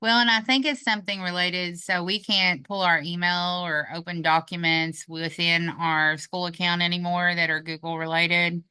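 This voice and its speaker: A woman teacher with a low, crisp, bright voice, speaking over a dry video call. She speaks hesitantly, restarting her sentences as she explains. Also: fairly even intonation, normal speed, few pauses, no echo. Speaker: teacher in an online meeting. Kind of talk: casual online meeting chat.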